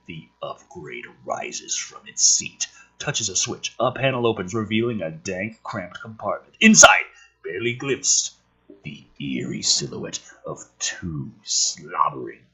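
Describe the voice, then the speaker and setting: A husky-voiced man reading a script aloud dramatically, with exasperated, sweary commentary as host. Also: on the low side, pushed and bright, poor audio. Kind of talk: animated script read-aloud with profane commentary.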